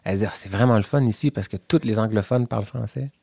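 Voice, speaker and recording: A man's voice: lowish voice, fast talker, vocal fry, warm tone, no room sound, clean studio sound. A man from the Quebec City region with a Quebec French accent, chatting casually in an interview and telling stories with many restarts. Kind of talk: casual chat.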